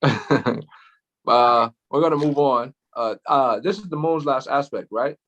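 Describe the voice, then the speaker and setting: A man's voice: mid-range voice, a little slow, a bit bright, dull audio. A man co-hosting a casual astrology chat, laid-back, with frequent laughs and lots of fillers. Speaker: astrology show co-host.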